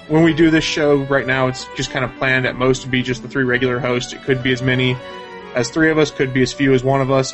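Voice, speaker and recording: A man hosting a casual, off-the-cuff podcast, chatting informally about news and plans. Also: mid-range voice. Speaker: podcast host.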